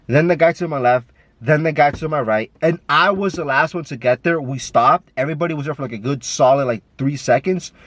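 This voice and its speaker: A man with a stuffy nose, talking in a casual, rambling way with lots of fillers and swearing, filmed on a camera while driving. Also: mid-range voice. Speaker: vlogger. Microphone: camera mic.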